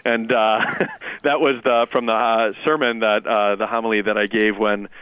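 A man who grew up speaking Lithuanian talks about his calling as a priest on a radio program. His low voice sounds smooth and resonant but comes through a thin, phone-like band. It is close and dry, and he speaks reflectively, with many pauses and fillers. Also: steady tone, no echo. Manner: reflective, measured, with frequent fillers and restarts. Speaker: Jesuit priest and pastor, guest. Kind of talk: radio interview.

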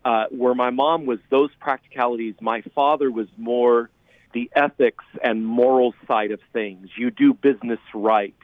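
A man's voice: mid-range voice, fairly even intonation, moderate pace, dry recording. A middle-aged American man from California, a priest speaking as an interview guest in an earnest, emphatic conversational way over a narrow phone line. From California, United States.

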